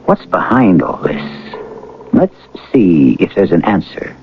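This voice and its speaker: Deep-voiced man hosting vintage radio suspense. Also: very animated, slight background noise. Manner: deliberate, rhetorical, portentous. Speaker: radio drama host. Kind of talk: scripted suspense narration. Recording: muffled vintage radio broadcast with music.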